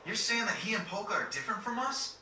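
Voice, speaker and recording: A man playing a brash, excitable young teenage boy in a video game cutscene, speaking bluntly and casually. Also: high-pitched, fast, echoey room, far from the mic, slight background noise, full character voice. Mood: indignant.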